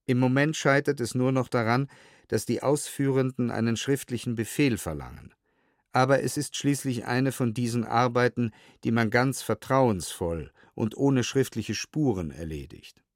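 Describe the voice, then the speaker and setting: A man's voice: medium pitch, normal speed, dry recording. Man reading documents, very dry studio sound. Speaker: reader of historical documents. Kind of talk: scripted documentary reading for audio edition.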